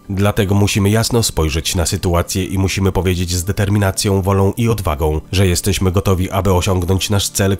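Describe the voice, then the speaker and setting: A man narrates the news in a calm, measured studio read. His voice sits very low and deep. Soft music plays faintly underneath. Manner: even, measured reading. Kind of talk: scripted news voice-over. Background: faint background music.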